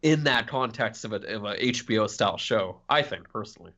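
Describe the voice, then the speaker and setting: A man's voice: fairly low pitch, animated, brisk, bright, forceful tone, lo-fi sound. A man co-hosting a podcast, giving his opinions in casual conversation. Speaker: podcast co-host.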